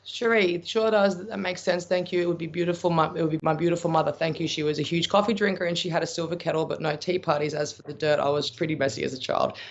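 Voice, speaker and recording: A man's voice: a little high, steady tone, fast, gravelly, hardly any pauses. An Australian man giving psychic readings on a live stream, answering viewer questions in a blunt, chatty way and calling viewers pet names. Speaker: psychic medium. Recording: dull lo-fi stream audio.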